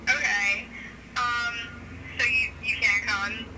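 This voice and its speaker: A woman's voice: on the high side, flat delivery, slow pace. A woman working in a shop, talking casually and hesitantly on a speakerphone call, asking puzzled questions. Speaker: shop employee answering a phone call. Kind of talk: casual phone conversation. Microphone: phone speaker picked up by camera. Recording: noisy phone call on speakerphone.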